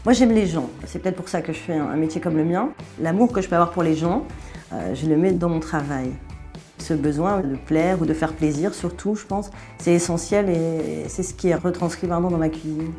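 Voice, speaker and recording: A woman's voice: fairly low pitch, a little fast, warm tone, faint music behind. A middle-aged woman chef from France with a Belgian French accent, answering interview questions in an easygoing way with many hesitations.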